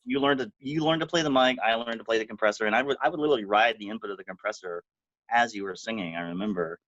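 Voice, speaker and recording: A man's voice: on the low side, a bit bright, no room sound, lo-fi sound. A man who worked as the recording engineer, explaining studio technique in casual conversation with lots of fillers. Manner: quick, filler-heavy explaining.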